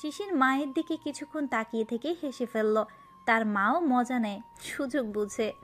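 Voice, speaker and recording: High-voiced woman narrator. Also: normal speed, faint music behind. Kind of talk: scripted narration of a romantic story.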